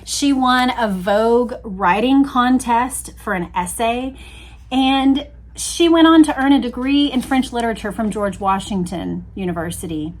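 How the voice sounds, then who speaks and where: A woman's voice: fairly high pitch, a little slow. An American woman hosting a book readalong, reading history aloud and adding casual, conversational commentary. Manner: conversational, chatty asides.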